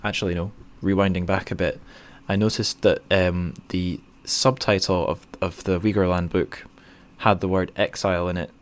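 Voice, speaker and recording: A man's voice: deep voice, level tone, normal speed, hardly any pauses. A man hosting a podcast interview, asking casual questions in a tentative way and often correcting himself as he goes.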